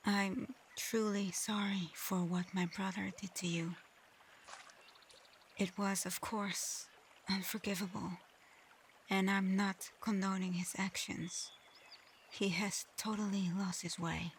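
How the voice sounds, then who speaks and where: Soft, unhurried dragon girl acted by a woman. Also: mid-range voice, little pitch movement, a little hiss or hum. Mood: gentle, regretful. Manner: soft, breathy, often near-whispered, halting. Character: remorseful, gentle shapeshifting female dragon in humanoid form. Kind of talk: ASMR roleplay.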